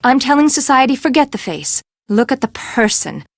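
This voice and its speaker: An actress playing an imperious, eccentric fashion designer in a video game, with a haughty, dramatic, emphatic delivery. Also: female voice, high voice, sing-song, normal speed, no room sound, clean studio sound, heavily acted. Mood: pompous, intense.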